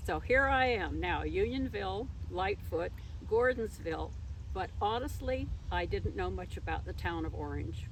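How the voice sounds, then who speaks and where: A woman's voice: medium pitch, animated, moderate pace, rough recording. An older woman telling her own story as an interview guest, speaking in an unscripted, conversational way. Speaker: interview guest, former teacher. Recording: faint traffic noise behind.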